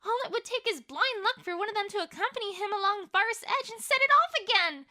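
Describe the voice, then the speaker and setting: Woman acting very bright, high-pitched anxious pony. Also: lively intonation, fast, exaggerated voice. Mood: exasperated. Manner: stammering, dramatic. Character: anxious, bookish young female pony, fretting and livid. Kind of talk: dramatised fan fiction reading.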